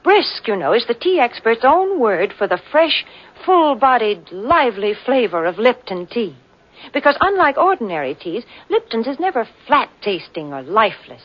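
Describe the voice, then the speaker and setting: An actress playing a cheerful announcer reading a live tea advertisement on a 1940s radio show. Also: female voice, fairly high pitch, big pitch swings, normal speed. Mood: cheery. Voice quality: bubbly, lilting sales delivery. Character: cheerful woman announcer pitching tea on air. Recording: muffled old radio broadcast.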